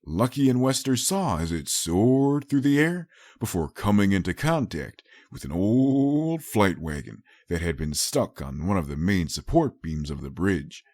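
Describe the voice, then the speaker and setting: A man's voice: low voice, sing-song, a little slow, hardly any pauses, darkish tone, dry recording, clean studio sound. A man reading a scripted story as an audiobook narrator, in a dramatic storytelling style.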